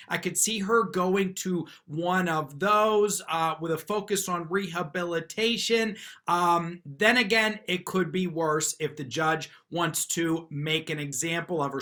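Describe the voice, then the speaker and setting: A man's voice: high-pitched, sharp tone. A man giving casual, chatty, off-the-cuff commentary on a news clip as a lawyer hosting his own show. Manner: informal, chatty. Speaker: lawyer commentator, channel host.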